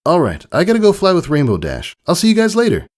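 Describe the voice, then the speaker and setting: A man performs a plucky little girl pegasus from a storybook. The voice sits far up high and is excitable, earnest and eager. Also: fast talker. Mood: cheerful. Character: plucky young filly pegasus, eager and brave.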